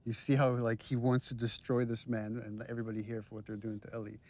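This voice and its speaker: A man's voice: mid-range voice, monotone, fast talker, darkish tone. A man giving casual director's commentary on a game in a thoughtful, explanatory way.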